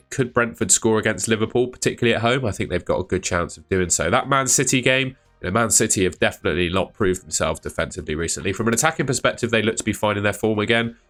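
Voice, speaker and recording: A man's voice: on the low side, fairly bright tone. A man with a cold, hosting a fantasy football video in a chatty, thinking-aloud way. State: has a cold. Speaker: fantasy football channel host. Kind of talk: casual solo video commentary.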